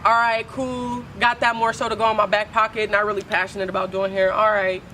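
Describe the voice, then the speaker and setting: A young woman from Oakland with a deep voice, speaking candidly as a poet guest in a casual interview, full of slang and swearing. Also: level tone, brisk, bright, forceful tone, slight background noise. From Oakland, California.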